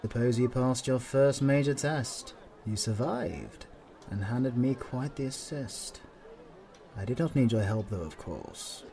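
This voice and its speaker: A man's voice: medium pitch, measured pace, creaky, warm tone. A British man playing a stern, aloof veteran demon hunter in a fantasy audio roleplay, speaking haughtily and formally to the listener. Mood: aloof, dry. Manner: haughty, clipped, dryly formal.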